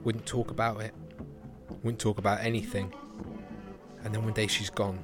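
An actor playing a heartbroken, resigned young homeless drug user in an audio drama, speaking in weary, understated broken phrases. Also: male voice, on the low side, little pitch movement, normal speed, natural voice. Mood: quiet heartbreak. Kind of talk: intimate audio-drama monologue. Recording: music behind the voice.

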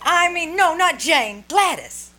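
A woman's voice: very high voice, animated, slow, bright, forceful tone, heavily acted. A woman playing a prim, tart young wife in an old-time radio comedy, arch, polite and theatrical. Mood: flustered.

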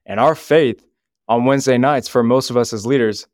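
A man on a podcast talking about youth ministry, joking at times and speaking earnestly and deliberately, reading scripture aloud now and then. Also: medium pitch, darkish tone, dry recording, studio quality.

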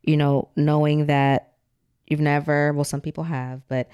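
A woman guest, an author and stand-up comic, chatting in a relaxed, easygoing way. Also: low-pitched, a little slow, clean tone, lo-fi sound. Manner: relaxed, chatty, sprinkles in faith talk. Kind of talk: casual interview chat.

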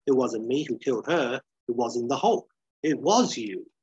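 A man's voice: mid-range voice, expressive, moderate pace, darkish tone, breathy edge. A man voicing a relentless, cruel accuser in a dramatic story, hammering out heated rhetorical questions. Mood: heated, accusing. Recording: dull, roomy sound.

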